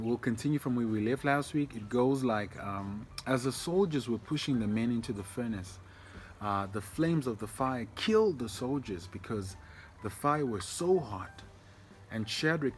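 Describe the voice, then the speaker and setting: A man's voice: medium pitch, normal speed. A man telling a story to children informally as a church storyteller, explaining things and addressing them directly.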